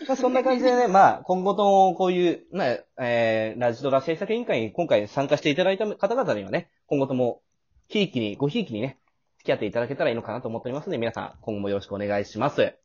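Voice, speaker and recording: A man's voice: mid-range voice, big pitch swings, fast, darkish tone. A man hosting a casual cast chat in a teasing, jokey manner. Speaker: host of a radio drama cast talk. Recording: muffled lo-fi audio.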